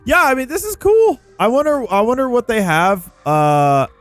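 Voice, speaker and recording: A man giving casual gameplay commentary, thinking out loud in an informal way. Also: extremely high pitch, sing-song, normal speed. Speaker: gaming video creator. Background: background music.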